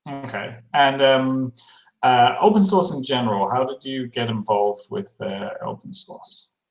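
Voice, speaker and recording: A man's voice: mid-range voice, unhurried, roomy sound, low-quality recording. A man hosting a livestream over a video call, asking guests questions in a casual, self-deprecating way with many fillers. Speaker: livestream host.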